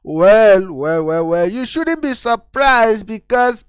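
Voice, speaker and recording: A man's voice: high-pitched, expressive, takes it slowly, clear, resonant tone, dry recording. A man from Nigeria, host of his own channel, explaining a video editor step by step in a screen-recorded tutorial and calling viewers guys.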